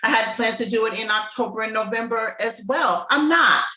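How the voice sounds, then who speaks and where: A woman's voice: on the high side, pushed and bright, roomy sound. A woman guest in a podcast interview, speaking in an emphatic, motivational way and drawing out her points. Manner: emphatic and motivational, drawn-out delivery. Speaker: interview guest, business owner. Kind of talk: casual interview chat.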